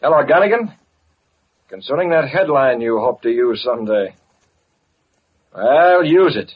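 An actor playing a masked vigilante and newspaper boss, commanding and assured, in an old radio drama. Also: male voice, medium pitch, animated, measured pace, breathy edge. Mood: brisk, satisfied. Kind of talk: 1940s radio acting. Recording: muffled vintage radio recording.

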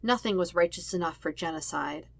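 A woman's voice: fairly low pitch, a little fast, gravelly, no echo. A woman reading a story aloud as scripted narration. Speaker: podfic reader of fan fiction.

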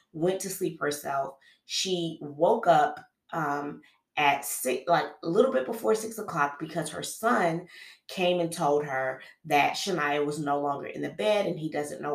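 A woman's voice: sits low, creaky. A woman podcast host with a cold, recounting a true-crime case in a casual, conversational way, full of fillers and restarts. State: has a cold, sniffling. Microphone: podcast microphone. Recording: roomy echo.

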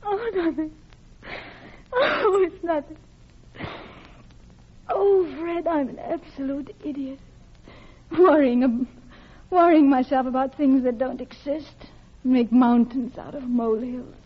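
An actress playing an anxious, guilt-ridden middle-class wife in a classic radio drama, with a polite, hesitant, confessional manner. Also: female voice, sits high, slow pace, long pauses. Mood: tearful. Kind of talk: classic radio drama acting, with intimate narration. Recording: dull old radio recording with music.

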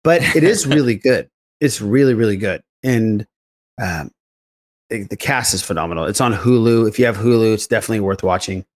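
A man's voice: fairly low pitch, expressive, fairly quick. An enthusiastic man hosting a casual podcast chat, repeating himself for emphasis. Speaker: podcast host.

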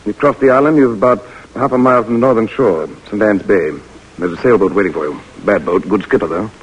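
An actor playing a world-weary English soldier of fortune with an English accent, clipped and nonchalant, in 1940s radio drama style. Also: male voice, fairly low pitch, fast talker, lo-fi sound, slight background noise, close to an everyday voice. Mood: matter-of-fact.

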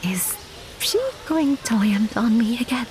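A woman playing a hesitant, soft-spoken young woman in an audio drama. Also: fairly high pitch, lively intonation, unhurried, background noise.

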